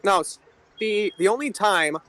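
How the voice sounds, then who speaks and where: A man's voice: high voice, lively intonation, a little slow, pushed and bright. A man co-hosting a casual wrestling discussion in a matter-of-fact, clarifying manner. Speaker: podcast co-host. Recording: slightly noisy amateur audio.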